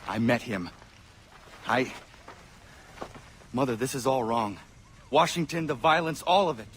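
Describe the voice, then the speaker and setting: An actor playing an earnest, troubled young warrior in a video game, speaking formally and solemnly. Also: male voice, mid-range voice, unhurried, a bit bright, slight background noise, lightly acted. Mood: confused distress. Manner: formal, solemn, avoids contractions. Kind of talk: dramatic video game acting.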